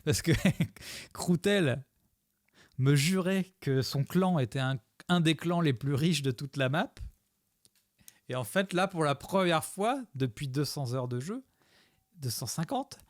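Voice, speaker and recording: A man's voice: a little high, lively intonation, unhurried, no echo, studio quality. A man streaming live, commenting on his own gameplay video with a chat, rambling and joking as he thanks his followers.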